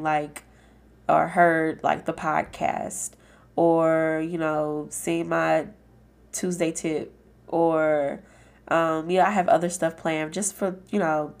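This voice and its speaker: A low-pitched woman with a gravelly, fry-heavy voice, hoarse and a little nasal from a cold. She hosts her own podcast and talks in a loose, frank, filler-filled chat style. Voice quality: raspy and nasal. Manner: chatty and frank, with lots of fillers. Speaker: podcast host. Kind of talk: casual solo podcast chat.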